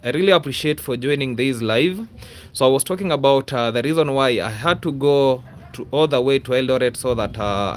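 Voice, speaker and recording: Kenyan guy doing a live chat, medium voice, rambles and repeats, says yeah? a lot. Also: mid-range voice. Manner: rambling, repetitive, often adds a questioning "yeah?". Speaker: YouTuber, livestream host. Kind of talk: casual livestream chat to viewers.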